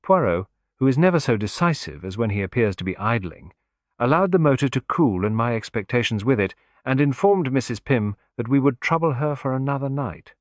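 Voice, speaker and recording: A man reads a scripted mystery as an audiobook narrator. His voice sits at a medium pitch, with a measured, literary delivery. The recording is dry and close. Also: lively intonation, dry recording.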